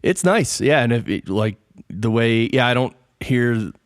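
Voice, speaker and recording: A man's voice: medium pitch, animated, unhurried, dry recording, studio quality. A man hosting a podcast interview, talking casually and telling stories with emphasis. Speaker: podcast host.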